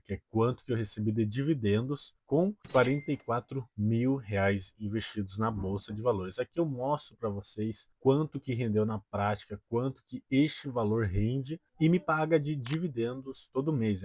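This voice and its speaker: A man's voice: fairly low pitch, darkish tone, no echo. A twenty-year-old man with a Brazilian accent explains things casually to his viewers as a video host, often tagging his sentences with a check-in question. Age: 20 years old. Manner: peppers sentences with tag questions. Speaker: personal finance video host.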